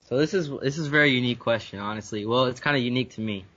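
A young man from Guyana speaks as a podcast guest at a middle pitch. He talks earnestly and often repeats a word while he gathers his thoughts. The recording has a slightly dull, lo-fi sound. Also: racing, bright, forceful tone. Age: young adult. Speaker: university student guest. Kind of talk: conversational interview answers.

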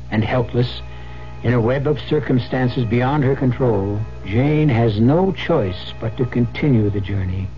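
Male radio narrator, ominous and rhetorical, muffled old broadcast sound over clear music. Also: medium pitch. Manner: portentous, deliberate rhetorical delivery. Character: ominous male storyteller introducing terror tales. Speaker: host and narrator of a radio mystery series. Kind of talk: dramatic scripted radio narration.

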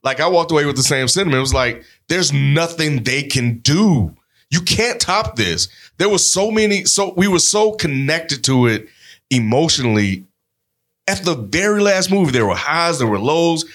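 A man's voice: fairly high pitch, animated, normal speed, fairly bright tone. Emphatic man in casual chat. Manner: emphatic, profane slang. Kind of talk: casual group chat about films.

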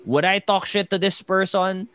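A man in his twenties with a Filipino accent, hosting a podcast in a relaxed, casual way with frequent laughs. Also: sits high, normal speed, clean tone, no echo. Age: 20s. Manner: relaxed, laughing, soft asides. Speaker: podcast host. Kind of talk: casual interview chat.

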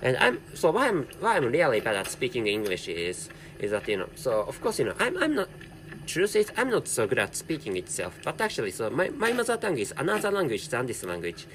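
Halting Japanese-accented man, lively pitch. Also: a little hiss or hum, low-quality recording. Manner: halting, with heavy repetition and self-correction. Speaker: podcast host. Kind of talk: casual solo commentary.

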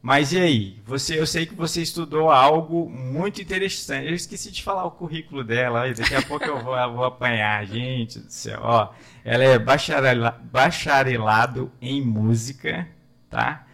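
A man's voice: medium pitch, moderate pace, gravelly, a bit bright. A man hosting a casual interview, joking and laughing often. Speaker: interviewer, music school owner.

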